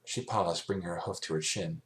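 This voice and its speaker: Low-pitched man reading a story. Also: fairly even intonation, moderate pace, fairly bright tone. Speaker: amateur fan fiction narrator. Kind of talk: scripted story narration.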